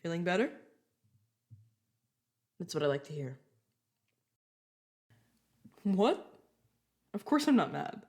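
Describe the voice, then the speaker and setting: A woman's voice: lowish voice, sing-song, slow, pauses often, darkish tone, exaggerated voice. A woman playing a casual, reassuring vampire guide in an audio roleplay, talking informally to the listener as a mentor to a newcomer. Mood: soothing. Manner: chatty, informal, self-correcting, reassuring.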